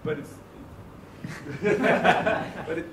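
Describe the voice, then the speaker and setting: A man at a meetup, talking loudly and animatedly in a casual group discussion. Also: a little high, drawn-out words. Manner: loud, animated, talks in bursts. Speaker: meetup attendee. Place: meetup room. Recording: roomy, from far off, faint noise.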